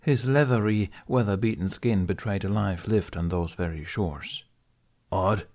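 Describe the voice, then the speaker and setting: A man's voice: on the low side, moderate pace, darkish tone. A man reading scripted story narration as an audiobook narrator.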